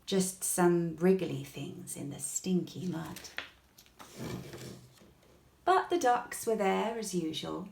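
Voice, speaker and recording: A woman reading a children's bedtime story aloud in an expressive, gentle way, with deliberate pauses. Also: fairly low pitch, vocal fry. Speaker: storybook reader. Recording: echoey room.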